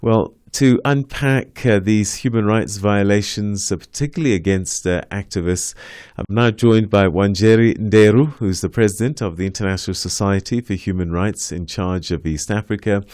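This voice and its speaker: A man hosting a news talk program, reading news and putting questions to guests with frequent pauses. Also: on the low side, darkish tone, smooth, no echo, clean studio sound. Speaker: radio show host. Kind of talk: news talk show presenting and interviewing.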